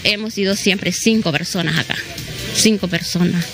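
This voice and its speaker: A woman's voice: lowish voice, moderate pace, over music. A middle-aged woman with a southern Honduran accent giving spontaneous interview testimony, repeating phrases and adding tag questions, into a handheld microphone. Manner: repeats phrases, often adds "¿verdad?". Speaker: local resident being interviewed. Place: at her house, open air. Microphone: handheld reporter microphone held toward her.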